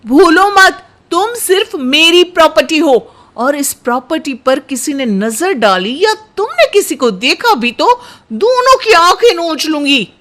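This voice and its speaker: A woman voicing a jealous, possessive young wife in a cartoon story, with a dramatic, sharp-tongued, teasing delivery. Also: sits high, very animated, unhurried, few pauses, voice pushed up, full character voice. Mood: angry, possessive. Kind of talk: cartoon storybook character acting.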